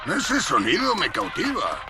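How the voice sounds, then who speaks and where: A man voicing a creepy, hungry, entranced monster at a cartoon market, with a gravelly voice. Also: a little high, huge pitch swings, measured pace, over loud music.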